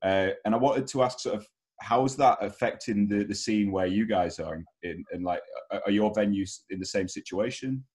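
A man's voice: lowish voice, moderate pace, darkish tone. A young man interviewing casually over a headset on a video call. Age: young adult. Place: bedroom.

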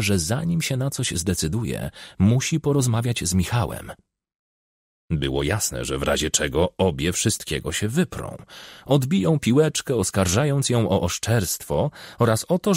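Male narrator for crime fiction audiobook, husky with fry, animated intonation. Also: lowish voice, moderate pace, no echo, clean studio sound. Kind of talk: scripted narration of a crime novel.

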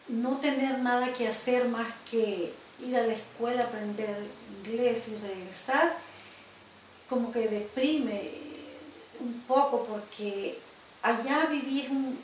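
An older woman from El Salvador speaks with a Salvadoran Spanish accent and a fairly high voice. She tells her story slowly and reflectively, stumbling over repeated starts. The room sounds roomy, with a faint hiss from a home video camera. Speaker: interviewee. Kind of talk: personal life-story interview. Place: living room, indoors.

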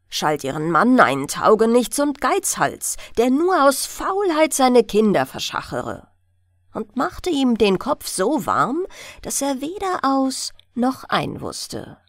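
A woman narrating a bedtime fairy-tale audiobook in an expressive storytelling style, close to the mic. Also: a little high, no echo.

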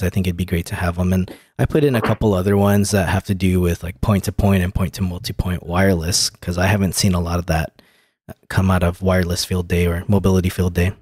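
Low-pitched man hosting a podcast. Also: darkish tone, slightly rough, dry recording, clean studio sound. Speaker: podcast host. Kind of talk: casual tech podcast talk.

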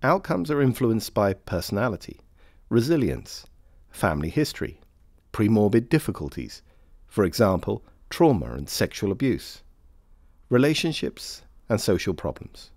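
A man reading scripted informational narration for a training film in a measured, formal manner. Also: lowish voice, big pitch swings, darkish tone, no echo, clean studio sound. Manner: measured, formal, clearly phrased.

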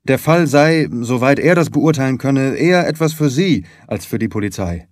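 A man's voice: mid-range voice, animated, moderate pace, dry recording, studio quality, close to an everyday voice. A man voicing a huge, distraught young rugby player in an audiobook, talking with urgent, earnest emphasis.

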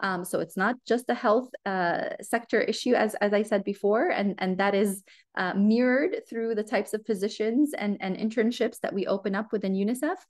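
A woman's voice: mid-range voice, normal speed, a little mellow. A Lebanese American woman in her thirties answering seminar questions over a video call, earnest, with many ums and restarts. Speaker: guest speaker. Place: home room.

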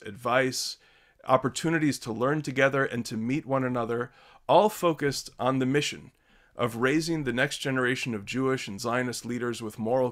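A man in his thirties hosting a video call from a home study, giving measured, earnest opening remarks. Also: mid-range voice, a bit bright. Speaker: host.

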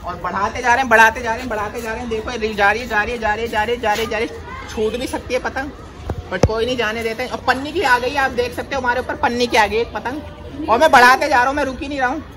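A man's voice: very high voice, steady tone, quick pace, a crowd in the background. A man, chatting casually and animatedly to viewers as a vlogger, often repeating his phrases. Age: 20s. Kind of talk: casual vlog chat and running commentary. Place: open urban rooftop, outdoors. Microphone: phone camera, near to a few metres away. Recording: noisy outdoor phone recording with people around. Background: outdoor city noise, voices.

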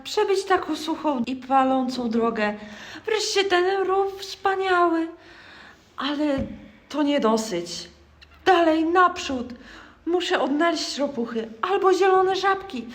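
A girl playing a high, dreamy young toad. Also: expressive, slow pace. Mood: restless, longing. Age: child or young teen schoolgirl. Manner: theatrical, exclamatory. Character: curious young female toad, dreamy and longing. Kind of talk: school radio play acting.

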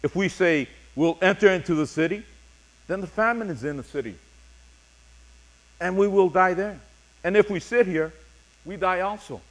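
Middle aged guy preaching, kinda higher voice, deliberate short phrases, reads scripture like a pastor. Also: poor audio. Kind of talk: sermon with scripture readings. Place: church sanctuary, speaking from a pulpit on stage.

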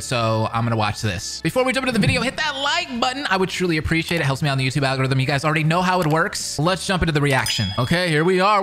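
A young man, giving casual, excited, slangy reaction commentary close on a studio mic. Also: fairly high pitch. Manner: animated, slangy. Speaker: reaction video host. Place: small room at a gaming setup. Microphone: close boom-arm studio microphone.

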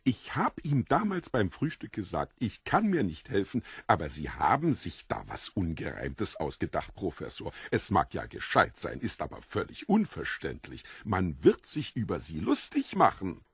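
Devilish foreign stranger: courtly, mocking, very husky, swooping delivery for audio drama. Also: male voice, deep voice, huge pitch swings, moderate pace, few pauses, dry recording, studio quality, exaggerated voice. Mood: gleeful, mocking. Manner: theatrical, effusively polite, mocking.

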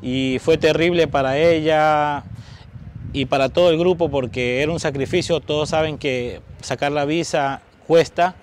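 A softball coach in his thirties speaks outdoors in a street, filmed close on a phone. His voice is slightly high and matter-of-fact. Faint street sounds are heard behind him. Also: steady tone, moderate pace, slight background noise.

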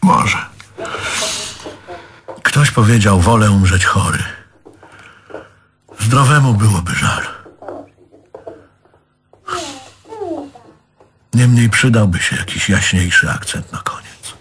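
A man's voice: fairly low pitch, unhurried, long pauses, fairly bright tone, a little hiss or hum. An actor playing a jealous, wounded, fussy man in a stage drama, nagging and accusing in a repetitive way. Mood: weary, resigned. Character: jealous, wounded man leaving his lover, brooding and fussy. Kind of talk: theatrical stage dialogue.

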